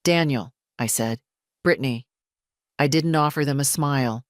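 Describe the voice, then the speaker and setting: A woman reading scripted first-person dramatic narration in a measured, composed, deliberate manner. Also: extremely low pitch, no echo, studio quality. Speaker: story narrator.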